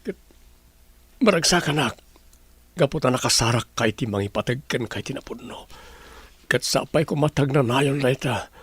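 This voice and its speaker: An actor playing a remorseful prisoner begging forgiveness in a radio drama, with a gravelly, husky voice. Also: male voice, mid-range voice, normal speed, pauses often, no room sound, natural voice. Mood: remorseful, pleading.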